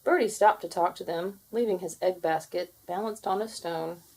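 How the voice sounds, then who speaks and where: Expressive woman reading a children's story. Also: fairly low pitch, moderate pace, breathy edge, low-quality recording. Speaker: storybook narrator. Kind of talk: scripted children's story narration.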